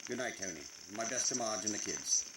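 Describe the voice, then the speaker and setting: A man's voice: lowish voice, animated, a little fast, roomy sound, close to an everyday voice. Man as older museum proprietor, formal, polite, fussy, timid, 1940s radio acting, very noisy hissing recording. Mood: genial, musing. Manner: formal, genteel, flustered. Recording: very noisy recording with loud sizzling hiss.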